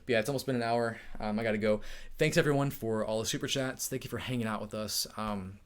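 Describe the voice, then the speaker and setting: A man's voice: on the low side, fast talker. A man hosting a casual live-stream Q&A, upbeat and chatty, laughing often, feeling hot. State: overheated. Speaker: tech YouTuber hosting a live Q&A.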